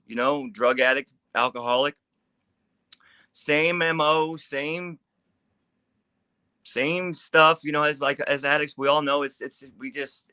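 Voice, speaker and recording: A man's voice: medium pitch, bright, forceful tone, smooth, no echo. A man in his forties from California, giving his recovery testimony in a candid, plainspoken way with casual grammar. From California, USA. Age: 40s. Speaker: recovery advocate guest. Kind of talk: personal testimony.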